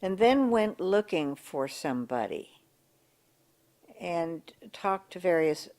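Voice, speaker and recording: An older woman with a big voice, recalling local government history in an oral history interview, pausing and restarting as she searches for words. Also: fairly low pitch, sing-song, darkish tone. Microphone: table mic in front of a coffee pot.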